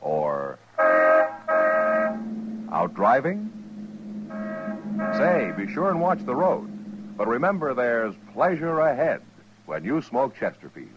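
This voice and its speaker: An American man with a husky voice reading a 1950s radio commercial as announcer. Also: mid-range voice, very animated, slow pace, long pauses, noisy background. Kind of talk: 1950s radio advertising read. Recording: dull old radio recording.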